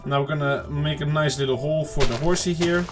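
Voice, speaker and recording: A man giving casual, rambling let's-play commentary to viewers, his throat slightly off and clearing it now and then. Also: on the high side, fairly quick, music in the background. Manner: rambling, chatty self-talk to viewers. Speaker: gaming channel host.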